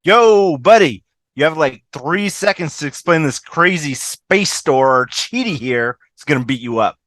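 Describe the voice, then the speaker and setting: A man's voice: fairly high pitch, lively intonation, measured pace, fairly bright tone, no room sound. A man playing a brash, suspicious, pushy troublemaker in an amateur comedy table read. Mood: confrontational.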